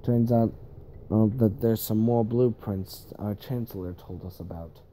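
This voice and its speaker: Slow-talking man with a very dark voice. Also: on the low side, a little hiss or hum. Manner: halting, self-correcting. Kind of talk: improvised rambling monologue.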